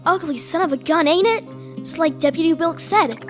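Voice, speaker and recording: Woman playing a high-voiced kid. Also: moderate pace, over music. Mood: excited. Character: earnest small-town country kid, artistic, bold and shyly smitten. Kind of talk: audio horror drama acting.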